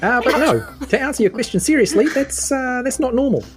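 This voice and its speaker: A woman's voice: sits high, expressive, a little fast, faint music behind. A woman voicing an easygoing, apologetic goblin pilot in a tabletop game, hesitant and matey, with a New Zealand accent.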